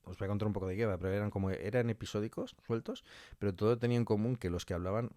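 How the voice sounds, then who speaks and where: Spanish guy from spain chatting in an interview, lowish voice, really dry sound, effusive and slangy, repeats stuff for emphasis. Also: fairly even intonation, rapid-fire, warm tone, no room sound. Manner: effusive, colloquial, emphatic repetition. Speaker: interview guest, audio fiction scriptwriter. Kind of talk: casual conversational interview.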